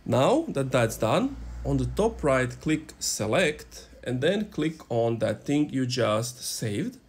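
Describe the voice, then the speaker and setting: A man's voice: medium pitch, vocal fry, darkish tone, breathy edge. A man in his twenties hosting a casual step-by-step tech tutorial in an informal, instructional way. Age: 20s. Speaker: tutorial host. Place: small room indoors.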